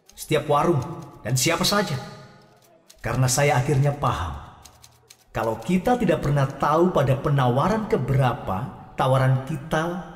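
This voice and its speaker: A man's voice: a little high, recorded from a distance. Earnest man narrating from afar, echoey. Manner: earnest, addressing listeners directly. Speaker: first-person narrator. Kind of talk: scripted audio drama narration.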